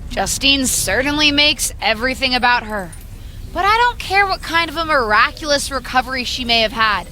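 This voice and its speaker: Casting: young woman wrestler in a game, high and sharp-toned, betrayed, terse, resentful, faint noise behind. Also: lively intonation, normal speed. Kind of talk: video game cutscene dialogue.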